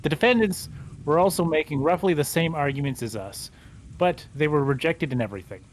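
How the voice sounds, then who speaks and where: A man's voice: on the high side, lively intonation, a little fast. A man playing a formal middle-aged lawyer who is not a native speaker, with a stiff delivery that trips over words. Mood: grave. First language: not Japanese. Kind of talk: fan livedub of a visual novel.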